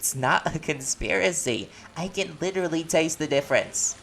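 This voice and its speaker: Man as an easygoing, creaky-voiced friend. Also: a little high, lively intonation, normal speed, background noise. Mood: casual, amused. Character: easygoing, helpful guest at a gathering. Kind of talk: audio roleplay drama acting.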